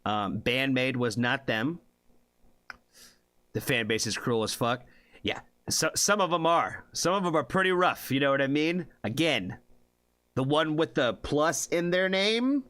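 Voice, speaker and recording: A man's voice: mid-range voice, expressive, a little slow, a bit bright, no room sound. A man hosting a music reaction livestream, talking loosely and swearing freely, with lots of fillers and a habit of asking "you know what I mean?". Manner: laid-back, rambling with many fillers. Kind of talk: casual livestream chat.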